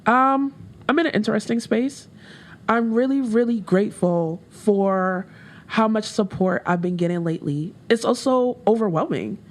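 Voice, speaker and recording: A woman rapper with a deeper voice, talking candidly as a guest in a casual interview, with frequent fillers. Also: expressive, normal speed. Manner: candid, reflective, with laughs and many fillers.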